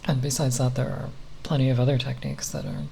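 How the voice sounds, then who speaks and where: A speaker giving an unscripted ASMR ramble in a halting, nerdy, hesitant manner with long pauses. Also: mid-range voice, flat delivery, gravelly, warm tone. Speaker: ASMR content creator. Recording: faint background noise.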